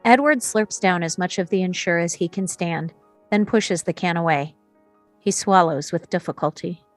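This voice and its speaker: A woman's voice: on the low side, normal speed, no echo, faint music behind. A woman reads screenplay stage directions as scripted narration, setting scenes and describing action.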